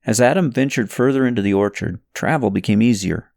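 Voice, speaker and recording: A man's voice: mid-range voice, fairly quick, vocal fry, darkish tone, no echo. Man narrating an audiobook in a dry-sounding space. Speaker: audiobook narrator reading own novel. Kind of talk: scripted narration of a parable novel.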